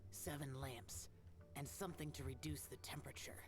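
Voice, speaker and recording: A man's voice: medium pitch, fairly even intonation, moderate pace, natural voice. A man voicing an aloof young demon-slaying warrior in a video game, with a curt, solemn manner. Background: game music.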